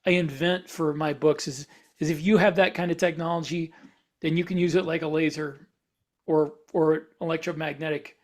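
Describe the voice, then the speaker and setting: A man's voice: a little high, steady tone, moderate pace. A man speaking as an author on a casual podcast panel, explaining things while searching for the right words.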